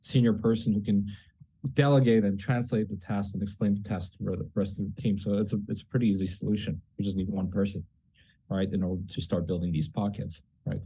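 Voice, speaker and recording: Brief: male panel guest, low and mellow, husky tone, rambling restarts, keeps checking in with "right?". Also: fairly even intonation, a little fast, rough recording. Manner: talks in long run-on explanations, often ends points with "right?"; frequent restarts and repeated words. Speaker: guest, tech startup executive. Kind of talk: unscripted panel discussion.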